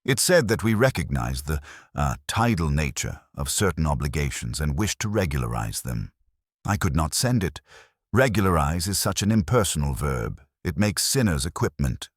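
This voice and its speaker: A man's voice: very deep voice, very animated, moderate pace, no room sound, studio quality, exaggerated voice. A man voicing an anxious, evasive village vicar in a storybook, wordy, mild and self-deprecating. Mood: rueful.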